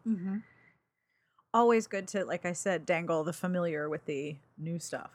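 A woman's voice: on the low side, normal speed, no room sound. Playful woman podcast host, dry close sound. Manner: playful, wry, expressive. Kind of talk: casual podcast conversation.

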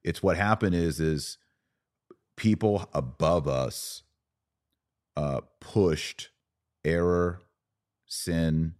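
A man speaking as a guest in a conversational podcast discussion, choosing his words in a halting, earnest and deliberate way. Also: sits low, a little mellow.

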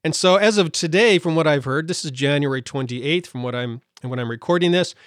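A man's voice: fairly high pitch, a little fast, dry recording, studio quality. A man hosting a podcast, talking indignantly with many fillers and restarts, his voice slightly worn. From United States. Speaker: podcast host. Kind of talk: solo podcast commentary.

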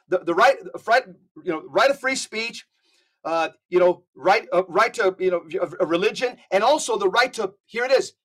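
A man's voice: high-pitched, a bit bright. A man talking as a guest on a podcast, in a fervent, emphatic way with frequent restarts. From United States. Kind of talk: conversational podcast talk.

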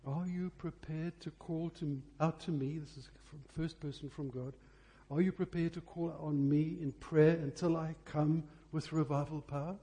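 A man preaching a revival sermon earnestly and deliberately, pressing his questions with repeated emphasis. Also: on the high side, fairly even intonation, dark tone. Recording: husky, very reverberant church hall sound.

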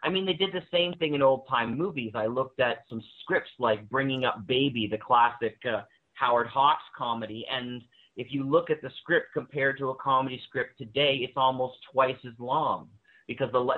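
A man's voice: medium pitch, moderate pace. Phone-line man, explaining with emphasis. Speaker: panel guest on audio drama. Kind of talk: conversational commentary. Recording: tinny, narrow phone-like line.

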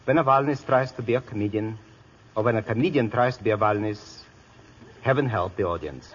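A man's voice: lowish voice, fairly quick, breathy edge. A male guest trading dry, sardonic scripted banter on a 1930s radio show. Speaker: guest violinist. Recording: dull old radio broadcast.

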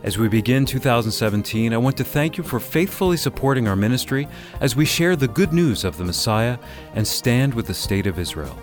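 A man's voice: medium pitch, big pitch swings, brisk. A man with an American accent reading scripted introductions and announcements as co-host of a radio program. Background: soft guitar music.

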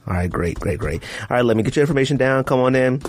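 A man playing a slick, sleazy telemarketing manager with smooth, pushy sales patter in a comic anecdote. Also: mid-range voice, expressive, fast, lightly acted.

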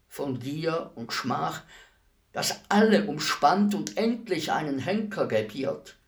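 An actor playing a despised, philosophical executioner in a radio play, speaking in a solemn, deliberate, measured monologue. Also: male voice, medium pitch. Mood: brooding, bitter. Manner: measured, grave, deliberate declamation. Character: despised executioner, calm, philosophical killer addressing his victim.

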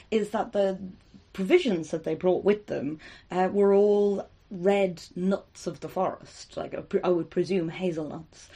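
A woman's voice: fairly low pitch, warm tone. A woman in a casual chat, explaining old stories thoughtfully with fillers. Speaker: podcast co-host. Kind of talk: casual chat retelling myths.

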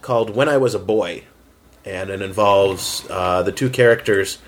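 A man with a fairly low voice talks in a relaxed round-table setting. He explains his writing in a casual way, often halting and hesitating as he picks his words. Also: a little hiss or hum. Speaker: fiction writer on a round-table panel.

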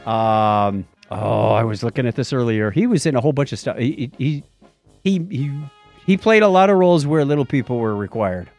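A man's voice: mid-range voice, lively intonation, moderate pace, a little mellow, faint music behind. A man co-hosting a podcast, bantering casually and reading out items with wry, joking asides. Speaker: podcast co-host.

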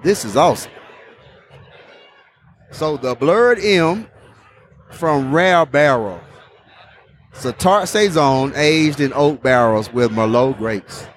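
Excited man repeating himself. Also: on the high side, slow pace, pauses often, a little hiss or hum. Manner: enthusiastic, repeats his phrases. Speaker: host. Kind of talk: casual beer tasting vlog.

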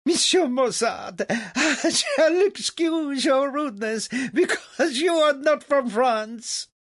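A man voicing a quick-tempered French king with a shrill, imperious manner. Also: no echo, studio quality. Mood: amused threatening.

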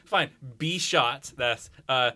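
A man's voice: medium pitch, slow pace, pushed and bright. Man, podcast guest, mid-range voice, casual chat, self-deprecating, joking, sweary. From Scotland.

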